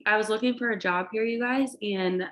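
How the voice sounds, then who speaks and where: Woman, twenties, stuffy nose, excited, rambling, video call, motivational team talk. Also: medium pitch, a little fast. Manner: excited, rambling, addresses "you guys" often. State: stuffy from allergies. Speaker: direct sales team leader.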